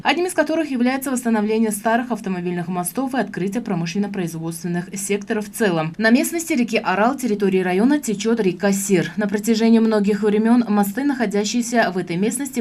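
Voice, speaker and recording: A woman narrates news reports from a script. Her voice sits at a medium pitch, and she reads at a quick, pressing pace. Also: darkish tone.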